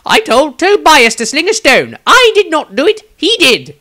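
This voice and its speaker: A man voicing an excitable, cheeky wizard in training who protests defensively. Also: very high voice, moderate pace, pitched above the performer's own voice, full character voice. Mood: indignant. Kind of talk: character dialogue in a fantasy audio story.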